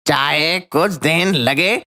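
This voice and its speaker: A man voicing a defiant striking shop worker in an animated story, firm and declamatory. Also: a little high, takes it slowly, full character voice.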